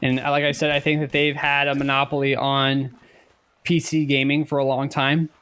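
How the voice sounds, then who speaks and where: A man co-hosting a casual podcast, speaking haltingly and repeating words as he goes. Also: mid-range voice, completely flat delivery, fairly bright tone, clear, resonant tone. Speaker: podcast co-host.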